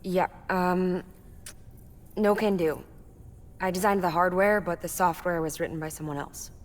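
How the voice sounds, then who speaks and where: An actress playing a calm, matter-of-fact female engineer in a video game, explaining things conversationally. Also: on the low side, measured pace, close to an everyday voice. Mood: matter-of-fact, concerned. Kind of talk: video game cutscene dialogue.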